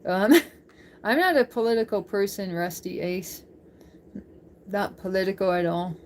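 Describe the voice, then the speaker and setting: Casting: woman livestream host, mid-pitched voice, informal and giggly, loose rambling chat delivery. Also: measured pace, a little mellow, a little hiss or hum. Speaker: livestream host chatting with viewers.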